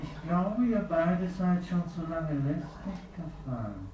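A woman playing a deranged killer in an old radio drama, taunting his trapped victims in slow whispered threats. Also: fairly low pitch, sing-song, dark tone, lo-fi sound. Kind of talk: 1960s radio drama acting. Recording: old radio play with faint hiss.

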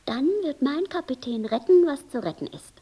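A woman's voice: high-pitched, sing-song, normal speed, over loud music. An actress playing an imploring, dramatic lady in a German radio play. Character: imploring, dramatic lady urging men to intervene.

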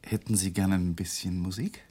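A man's voice: deep voice, fairly even intonation, moderate pace, dark tone. A man acting a nervous, guilt-ridden husband in a radio drama. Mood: hushed. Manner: brooding inner monologue, murmured to himself.

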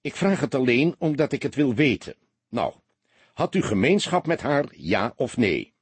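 A man voicing a young male detective in an audiobook, an awkward but insistent questioner who speaks haltingly. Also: medium pitch, sing-song, slightly rough, no echo, pitched above the performer's own voice. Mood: awkward, pressing. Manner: halting, pressing, slightly apologetic.

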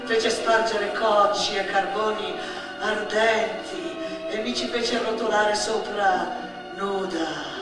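An actress playing a melodramatic girl who play-acts a noble virgin saint, declaiming with grandiose, drawn-out words in a theatrical monologue. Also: medium pitch, fairly even intonation, loud music behind the voice, voice pushed down, close to an everyday voice. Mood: grandiose, anguished. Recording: echoey hall from far off, noisy.

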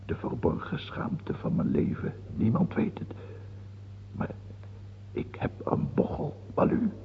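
A gravelly, fearful old king. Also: lowish voice, wildly swooping pitch, full character voice. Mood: fearful, confiding. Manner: halting, muttering, brooding. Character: old ailing hunchbacked king, fearful, superstitious, cruel, self-pitying. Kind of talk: theatrical radio play acting. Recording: muffled phone-like old radio recording, hiss and hum.

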